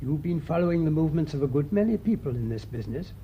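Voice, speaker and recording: A British man acting a thoughtful, shrewd priest sleuth in a radio mystery, speaking in a measured, deliberate way. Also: animated, lightly acted. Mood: shrewd, assured. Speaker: actor in the lead detective role. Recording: muffled radio recording with faint rumbling noise.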